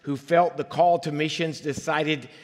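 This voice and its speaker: A man's voice: fairly high pitch, steady tone, a little slow. Earnest man preaching in a reverberant church. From Liberia. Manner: conversational, earnest storytelling with audience asides. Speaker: guest preacher. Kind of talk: personal testimony in a sermon. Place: church sanctuary.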